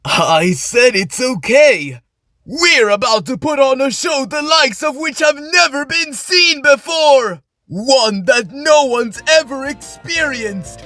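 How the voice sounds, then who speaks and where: A man's voice: extremely high pitch, animated, slow pace, sharp tone, no room sound, studio quality, soft background music, full character voice. Bombastic young anime showman. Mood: rousing, triumphant. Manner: grandiose, declamatory. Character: bombastic, theatrical young male star of an anime troupe. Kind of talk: anime fan dub acting.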